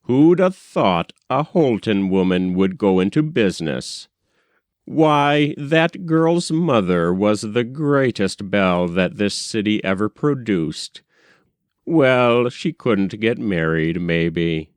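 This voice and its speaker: Man acting a blustery, swooping older gentleman. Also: fairly low pitch, expressive, takes it slowly, dry recording, studio quality. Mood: amazed. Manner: hearty, old-fashioned exclamations. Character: genial, blustery older widowed businessman.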